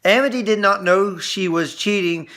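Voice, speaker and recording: A man reviewing an episode in casual chat, explaining things earnestly and haltingly as he goes. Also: high voice, pushed and bright.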